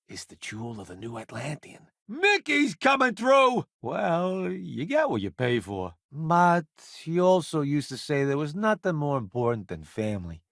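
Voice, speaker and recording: A man's voice: fairly high pitch, no echo, studio quality. A man voicing a vain, wisecracking, cowardly food-columnist sidekick in a space video game, with a breezy, theatrical, boastful delivery. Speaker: companion character in a space game. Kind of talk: animated video game voice acting.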